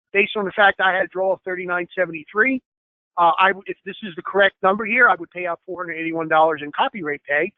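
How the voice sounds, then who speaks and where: A man leading a software training session over a screen share, explaining step by step with many fillers, slightly hoarse and clearing his throat. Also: high voice, dry recording. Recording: tinny phone-like line.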